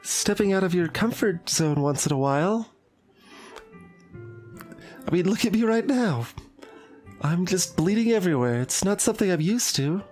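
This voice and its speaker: Man putting on a shy, high schoolgirl voice. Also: lively intonation, moderate pace, long pauses, darkish tone. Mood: hesitant, resolved. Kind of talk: voiced visual novel reading. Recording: music playing behind the voice.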